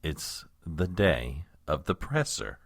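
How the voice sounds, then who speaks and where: Casting: male actor as long-suffering political aide, low voice, slow and formal, exasperated, for comedy audio drama. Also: big pitch swings, dry recording, clean studio sound, exaggerated voice. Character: harried, exasperated, world-weary male chief of staff.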